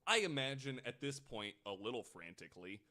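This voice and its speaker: A man's voice: mid-range voice, lively intonation, a little fast, bright, forceful tone, a little hiss or hum. A man hosting a podcast, telling a true-crime story in a casual, conversational way with the odd swear word. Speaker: podcast host.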